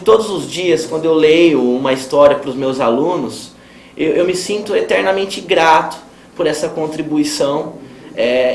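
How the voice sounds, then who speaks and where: A man with a Brazilian accent giving a formal personal testimonial in measured, sometimes hesitant phrases. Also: fairly high pitch. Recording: roomy echo, faint ambient noise.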